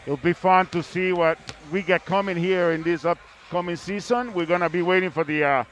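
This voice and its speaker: A man's voice: high-pitched, moderate pace, muffled recording. A man hosting a live sports interview at an arena, effusive and given to repeating words for emphasis. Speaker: sports broadcast host. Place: large indoor arena, live event.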